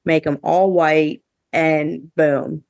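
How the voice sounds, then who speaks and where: A woman's voice: deep voice, fairly even intonation, drawn-out words, gravelly, darkish tone, no room sound, clean studio sound. A woman podcast host chatting casually and giving her opinions, with a lot of "like" thrown in. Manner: chatty, heavy use of "like", opinionated. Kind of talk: casual review chat among co-hosts.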